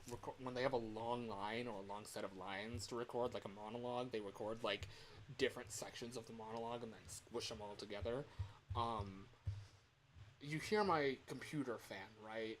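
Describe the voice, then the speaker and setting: A man talks casually to a livestream at an ordinary mid pitch. He rambles along and pokes fun at himself. A faint, steady fan hum sits under his voice. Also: moderate pace, low-quality recording. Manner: rambling, self-deprecating. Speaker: livestreamer recording game voice lines.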